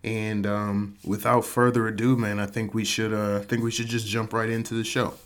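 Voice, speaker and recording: A man in his thirties talks through his podcast in a loose, conversational way, with a voice pitched a bit low. His voice still sounds slightly off from a recent illness. He keeps things easygoing and self-mocking, sprinkling "man" through his sentences. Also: little pitch movement, moderate pace, soft background music. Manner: laid-back, chatty, says "man" a lot, self-mocking. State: voice still a little off after illness. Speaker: podcast host. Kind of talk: casual solo podcast talk.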